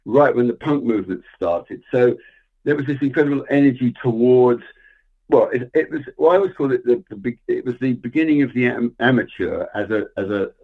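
A middle-aged man, a guest telling stories in a relaxed, thoughtful way. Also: mid-range voice, fairly quick, slightly rough. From England. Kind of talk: casual interview chat. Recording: tinny phone-like remote line.

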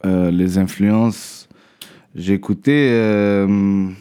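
A young man is answering questions in a relaxed interview. His is Arabic. He speaks haltingly, restarts his phrases and tags sentences with a loose "là". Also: fairly low pitch, clean tone. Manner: halting, repeats words, trails off with "là". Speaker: guest rapper. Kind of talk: casual interview chat.

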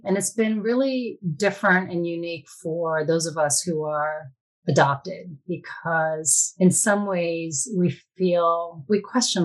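A woman speaking reflectively about personal experience as an interview guest, in a measured, thoughtful way. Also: fairly low pitch, rough recording.

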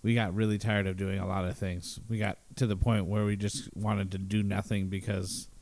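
A man's voice: fairly low pitch, little pitch movement, normal speed, darkish tone. A man co-hosting a podcast at home, chatting casually and rambling with many fillers, close to a shared handheld mic. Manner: rambling, lots of fillers and restarts. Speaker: podcast co-host. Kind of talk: casual chat. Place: at home with a cat. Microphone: shared handheld mic held close.

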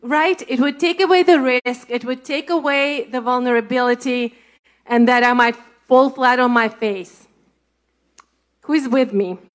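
A woman with a Russian accent, a ministry leader sharing a testimony in conversational church talk, animated and storytelling. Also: sits high, a little slow. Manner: animated, laughing, storytelling with quoted thoughts.

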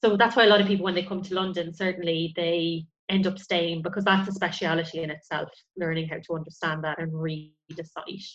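Woman, lowish pitch, informal interview guest, explanatory, tentative phrasing, muffled audio. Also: fairly even intonation, fairly quick, few pauses, fairly bright tone. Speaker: interview guest, field archaeologist.

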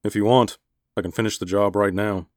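A man voicing a confident, brisk military pilot in an audiobook story. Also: on the low side, steady tone, a little mellow, dry recording, clean studio sound, lightly acted. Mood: matter-of-fact. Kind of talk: audiobook character dialogue.

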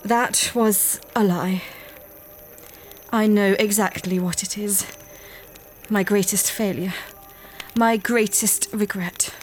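An actress playing a kind, solemn dying village woman haunted by regret, speaking in a grave, measured way for an audio drama. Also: mid-range voice, steady tone, faint music behind, close to an everyday voice. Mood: regretful, confessional.

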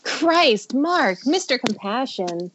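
A woman's voice: sits high, big pitch swings, takes it slowly, a bit bright, slight background noise, exaggerated voice. A woman playing a blunt, sardonic young model in an amateur script read, recorded over a muffled internet call. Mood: blunt, protective. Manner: dry sarcasm. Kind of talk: amateur screenplay table read.

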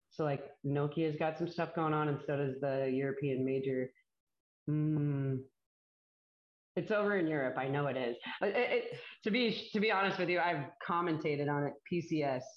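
A young woman talking casually as an interview guest, chatty and bubbly, laughing often. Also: sits low. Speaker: guest, disc golf player and commentator. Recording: echoey, dull lo-fi call audio.

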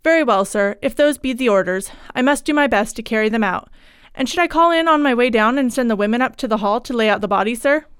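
A woman's voice: a little high, lively intonation, fast, clear, resonant tone, no room sound, clean studio sound, lightly acted. A woman voicing a humble male parish clerk in a storybook reading, deferential and rustic in his phrasing. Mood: dutiful. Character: deferential, easily shocked village parish clerk, man.

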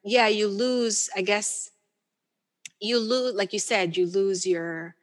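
A woman's voice: medium pitch, takes it slowly. Woman from the Philippines, casual podcast guest. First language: Tagalog. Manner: informal, thinking aloud, acts out quotes. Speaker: podcast guest, Tagalog teacher. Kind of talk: casual conversational interview answers.

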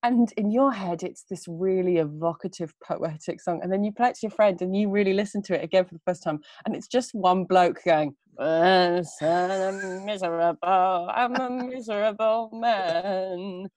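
A woman's voice: medium pitch, normal speed, few pauses, a little mellow, no room sound, clean studio sound. A young woman chatting casually on a podcast, bubbly and self-mocking, often laughing at herself.

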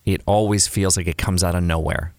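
Deep-voiced male host, easygoing and affirming, dry studio sound. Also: fairly even intonation, a little fast, no echo. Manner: agreeable, frequent affirmations. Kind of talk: casual interview chat.

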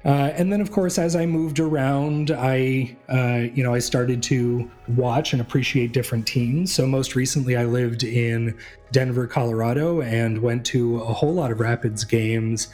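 A man's voice: medium pitch, steady tone, moderate pace, a little mellow, soft background music. A young adult man from New England hosting a solo sports podcast, talking in a rambling, chatty way with many fillers and restarts. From New England, USA. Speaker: podcast host.

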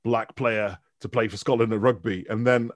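A man's voice: lowish voice, fairly even intonation, normal speed, no echo. A middle-aged man with a Mancunian accent and a trace of Dundee, chatting loosely as a guest lecturer. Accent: Mancunian with some Dundee. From Manchester, England. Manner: chatty, rambling, laughs easily. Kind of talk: casual interview chat.

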